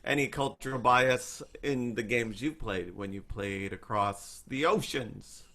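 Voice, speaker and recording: A man co-hosts a podcast, putting long, rambling questions to the guest. His voice is bright and forceful, at a middle pitch. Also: measured pace. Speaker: podcast co-host. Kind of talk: interview questions.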